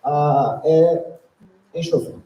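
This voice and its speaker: A woman's voice: deep voice, steady tone, extremely slow, roomy sound, recorded from a distance, dull audio. A woman interpreting a lecture phrase by phrase, hesitating often with fillers. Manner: hesitant, fills with "ah" and "é". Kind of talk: consecutive interpreting.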